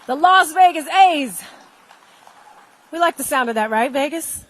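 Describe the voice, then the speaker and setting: A woman's voice: high voice, lively intonation, measured pace. A woman presenting at an event, addressing a crowd to rouse a response.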